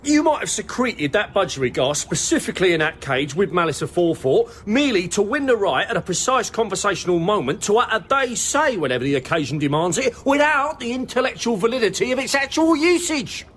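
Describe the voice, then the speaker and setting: An obstinate, lovesick shopkeeper in radio comedy. The voice sits high and is very animated, pleading and quibbling in earnest, over faint background noise. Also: male voice, brisk, few pauses. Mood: stubborn. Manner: pleading, stubbornly pedantic. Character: lovelorn, obstinate male shopkeeper, absurdly earnest. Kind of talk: British radio comedy acting.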